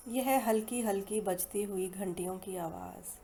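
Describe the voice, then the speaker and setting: An Indian woman leading a guided hypnosis meditation in slow, soothing, unhurried phrases with long pauses between them. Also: medium pitch. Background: soft meditation music.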